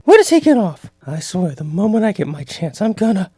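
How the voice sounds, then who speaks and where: A man playing an indignant, nervous young student mage in an anime parody dub, flustered and excitable. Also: sits high, brisk, slightly rough, no echo, studio quality, heavily acted.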